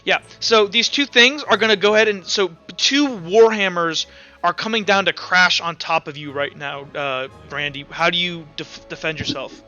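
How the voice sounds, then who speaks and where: A man's voice: high voice, normal speed, highs cut off. A man acting as game master, casually thinking aloud as he narrates a tabletop game and explains the rules. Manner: informal, conversational, thinking aloud. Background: soft music.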